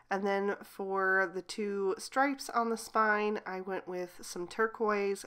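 A woman hosting a craft channel, slightly hoarse from a cold, calmly explaining step by step as she narrates a card-making coloring tutorial. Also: on the low side.

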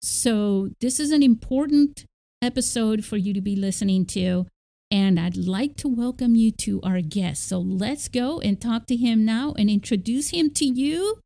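Mellow-voiced enthusiastic woman host. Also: medium pitch, vocal fry. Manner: enthusiastic, with many restarts. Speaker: podcast host. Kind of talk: casual interview chat.

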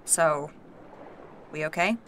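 A woman playing a gruff, blunt, commanding warrior in a fantasy audio drama. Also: deep voice, slow pace, a bit bright, a little hiss or hum. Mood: guarded, grudging. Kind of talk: naturalistic audio drama acting.

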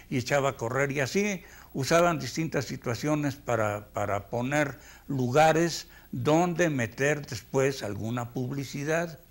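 An elderly man with a Mexican accent recalls his working life in an interview. He is reflective and halting, pausing to search for the next word. He sounds like a veteran telling his story at his own speed. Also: mid-range voice. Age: 80s. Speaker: veteran animator being interviewed. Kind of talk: reminiscing interview.

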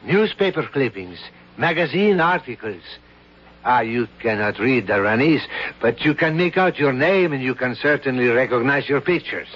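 A man's voice: medium pitch, expressive, normal speed, lightly acted. A man playing a courtly foreign grand duke. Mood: persuasive. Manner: formal, ceremonious, condescending. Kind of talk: 1970s radio drama acting. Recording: dull vintage radio broadcast.

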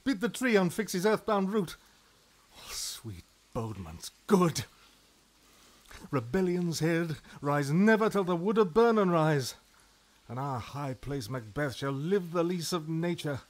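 An actor playing a haunted, ruthless warrior king in a declamatory Shakespearean radio drama. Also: a little high. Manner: declamatory, volatile.

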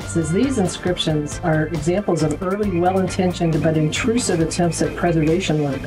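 A woman making quick, matter-of-fact remarks outdoors on a hike. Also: on the low side, low-quality recording. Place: outdoors on a desert trail. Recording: music bed under the voice.

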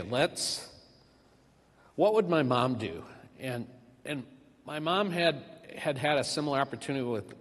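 A middle-aged man giving a eulogy for his father at a church podium, speaking haltingly with many fillers and restarts. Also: mid-range voice. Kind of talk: memorial eulogy. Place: large church sanctuary. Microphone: podium microphone. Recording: reverberant church hall.

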